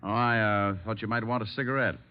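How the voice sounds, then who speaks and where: An actor playing a cool, wry private detective in an old radio drama, with an American accent and a dry, unhurried manner. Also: male voice, on the low side, fairly even intonation, clean tone, lightly acted. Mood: cool, steady. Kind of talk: 1940s-50s radio detective acting. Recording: dull vintage radio recording.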